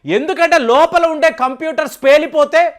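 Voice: high voice, moderate pace. A commentator giving news analysis straight to viewers, explaining conversationally and emphatically with frequent rhetorical questions. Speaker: news commentary channel host.

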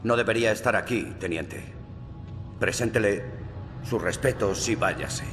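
Game role, male actor as by-the-book cop; lowish, clipped authority, reverberant, clear score behind. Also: a little slow, natural voice. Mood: curt, official. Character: curt, by-the-book male police sergeant. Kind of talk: video game cutscene acting in Spanish dub. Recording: long reverb, music behind.

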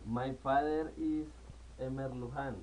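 A young man from Colombia in his twenties, speaking English with a Colombian Spanish accent as a learner and reciting a self-introduction word by word with pauses. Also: medium pitch, steady tone, darkish tone, far from the mic, a little hiss or hum, poor audio. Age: 20s. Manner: halting, word-by-word delivery with pauses. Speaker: student. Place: small living room at home. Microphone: camera in front of the speaker.

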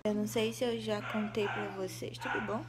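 A woman in her twenties with a Brazilian Portuguese accent, hoarse from a cold, chatting casually to the camera in a vlog and often dropping into a breathy half-whisper. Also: medium pitch, very noisy. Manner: relaxed, chatty, drawn-out words. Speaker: vlogger. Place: small bedroom indoors. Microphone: phone or webcam at arm's length.

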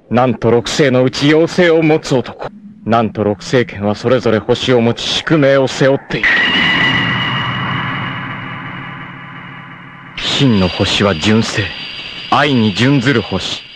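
An actor playing a proud, fierce, devoted martial-arts warrior facing death, with solemn, dramatic delivery in 1980s anime style. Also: male voice, medium pitch, animated, slight background noise. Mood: grave, dramatic. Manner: solemn, dramatic, deliberate delivery with intense outbursts.

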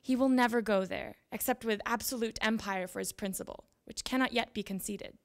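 A young woman giving a dramatic reading of old letters at a lectern, with measured, deliberate phrasing. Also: fairly high pitch. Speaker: reader at a literary event. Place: library event room with seated audience. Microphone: handheld microphone at a lectern.

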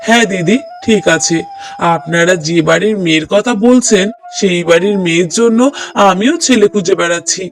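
A man's voice: high-pitched, normal speed, faint music behind. A man playing an obliging village matchmaker in a cartoon drama, talking in a reassuring, persuasive way.

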